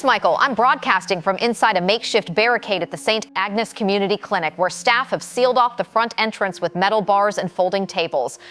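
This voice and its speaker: A woman's voice: mid-range voice, fairly bright tone, close to an everyday voice. A woman playing a shaken but professional field reporter giving a grave live report in an audio drama. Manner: grave, formal, descriptive. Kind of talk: live news report delivery.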